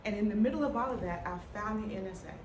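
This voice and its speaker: A woman's voice: medium pitch, lively intonation, rapid-fire. Middle-aged woman, stutters, candid and funny personal talk; echoey room, mic set at a distance, noisy background. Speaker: speaker telling her story. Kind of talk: personal story talk to an audience. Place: hall before an audience. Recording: roomy sound from a distant mic with noise.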